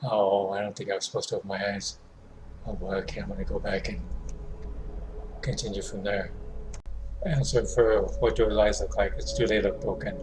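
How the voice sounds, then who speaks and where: A man giving casual commentary as he plays a game, with hesitant, low-key reactions. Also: flat delivery, normal speed, pauses often, background noise. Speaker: video game player. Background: game music.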